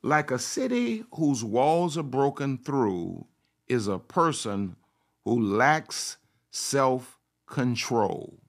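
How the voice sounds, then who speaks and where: A man's voice: medium pitch, very animated, extremely slow. A man preaching a sermon. He repeats his phrases for emphasis, asks rhetorical questions and at times speaks as if he were a confident woman. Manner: speaks in the first person of a confident woman to make his point. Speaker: pastor.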